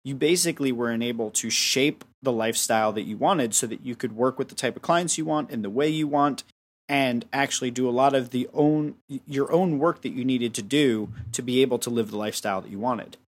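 Young guy hosting a podcast, long winding recap questions, mid pitch. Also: brisk, slightly rough. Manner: long, summarizing questions with restarts. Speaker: podcast host. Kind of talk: casual interview chat.

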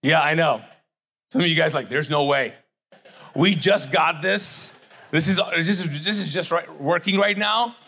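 A man's voice: fairly high pitch, a little slow, pushed and bright, husky. A middle-aged man, raised in Kuwait with English not his first language, preaching a sermon in a conversational way, acting out the voices of imagined people and asking the crowd to fill in his words. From Pakistani family, raised in Kuwait. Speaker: pastor.

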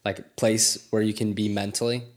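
A man's voice: lowish voice, little pitch movement, normal speed. A man hosting a faith podcast, reflective and affirming, with frequent "mm-hmm"s. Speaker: podcast host. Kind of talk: casual faith conversation.

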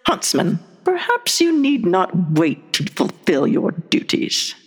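A woman playing a vain, menacing evil queen in a comic radio play, speaking imperiously and deliberately. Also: mid-range voice, huge pitch swings, breathy edge, heavily acted. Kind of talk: comic recreation of 1930s radio drama.

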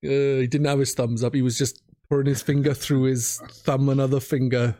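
Male games podcast host, average pitch, casual conversational delivery, modest and informal. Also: level tone, moderate pace, mellow. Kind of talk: casual chat about video games.

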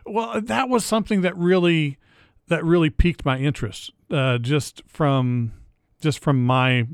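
A man's voice: fairly high pitch, a little slow, smooth, no room sound. Man, podcast host, casual interview, curious, chatty, dry close sound. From Indiana area. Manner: chatty, curious, restarts phrases often.

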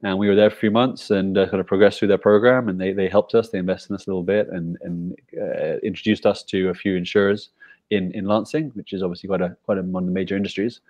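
Fast-talking low-voiced British man. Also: steady tone, a little mellow, no echo. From Britain. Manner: explains eagerly with rhetorical "right?" checks. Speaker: startup founder. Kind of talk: casual video call interview.

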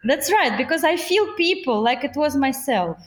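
A woman's voice: sits high, very animated, moderate pace, roomy sound. A woman with a Russian accent, a voice coach talking earnestly in an interview and laughing often. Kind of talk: podcast interview.

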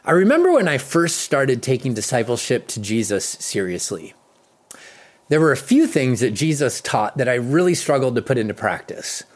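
Earnest American pastor giving a devotional. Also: male voice, mid-range voice. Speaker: lead pastor reading a devotional. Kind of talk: read devotional with earnest teaching and prayer.

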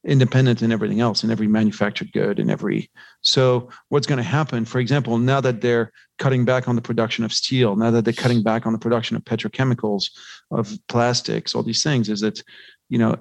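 A man's voice: mid-range voice, vocal fry, no echo, dull audio. Man, podcast guest, crackly voice, conversational financial commentary, discursive, rhetorical questions, close dry sound. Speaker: podcast guest, investment research analyst.

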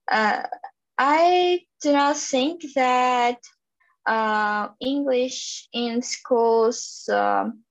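A young woman from Ukraine, speaking English with a Ukrainian accent, answering interview questions hesitantly with many fillers and restarts. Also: on the high side. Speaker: interview guest. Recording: dull lo-fi recording.

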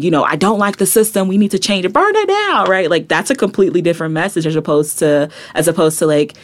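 A young adult woman, a poet and activist, talking passionately as a podcast guest, with frequent "like" and "right?" asides. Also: deep voice. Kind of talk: reflective casual interview talk.

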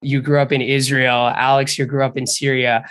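A man's voice: medium pitch, flat delivery, normal speed, fairly bright tone, clear, resonant tone. A young man from Bangladesh hosting a podcast interview, speaking earnestly and at length, appreciative of his guest. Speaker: podcast host.

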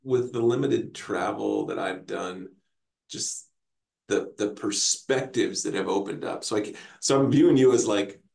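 Male podcast host, enthusiastic, halting with restarts, gravelly fry, roomy echo. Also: on the low side, a little mellow. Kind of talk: casual podcast interview.

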